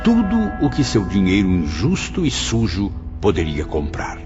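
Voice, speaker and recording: A man's voice: low-pitched, huge pitch swings, normal speed. A man narrating an audiobook in a scripted, dramatic storytelling style. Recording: muffled lo-fi audio, loud music behind.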